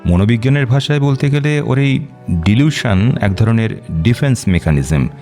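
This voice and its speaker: An actor playing a calm male psychiatrist in a radio drama, reassuring and explanatory in manner. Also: medium pitch, moderate pace, soft background music, close to an everyday voice.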